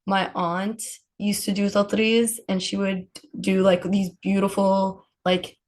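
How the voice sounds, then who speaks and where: A woman's voice: mid-range voice, flat delivery, slow, darkish tone. A woman from Palestine speaking as a podcast guest, telling personal stories in a casual, informal way. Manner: informal, frequent laughter. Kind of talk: casual conversational storytelling.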